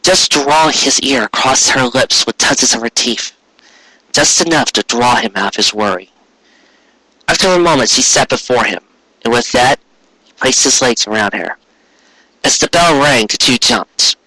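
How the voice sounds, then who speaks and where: A man's voice: medium pitch, animated, normal speed, long pauses, bright, forceful tone, no room sound, poor audio. A man narrating a written story expressively, with earnest, dramatic phrasing. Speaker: story reader.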